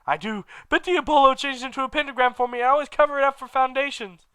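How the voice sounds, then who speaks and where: A man voicing a whiny, dramatic teenage gothic vampire boy who rushes his lines. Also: extremely high pitch, pushed and bright, clean tone, no room sound, pitched above the performer's own voice. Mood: rushed, dramatic.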